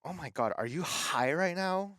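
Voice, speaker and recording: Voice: medium pitch, lively intonation, normal speed, fairly bright tone, dry recording, clean studio sound, lightly acted. An actor playing a harried, exasperated male radio host in an audio drama, stammering and strained.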